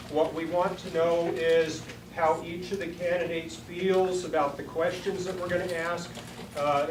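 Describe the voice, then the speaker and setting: Deep-voiced woman moderator, echoey and distant. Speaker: forum moderator and party chair. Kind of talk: informal public opening remarks. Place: meeting room at a party headquarters. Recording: echoey room, distant mic, clear noise.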